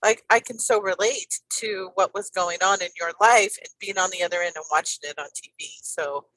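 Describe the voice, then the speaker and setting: A woman in her fifties hosts an interview with bright, forceful energy, gushing over her guest's story. She rambles through long sentences padded with ums and "you know," and her voice comes across dry and close with almost no room sound. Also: medium pitch, moderate pace, dry recording, low-quality recording. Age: 50s. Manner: enthusiastic, rambling, many fillers. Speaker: podcast host. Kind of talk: conversational interview.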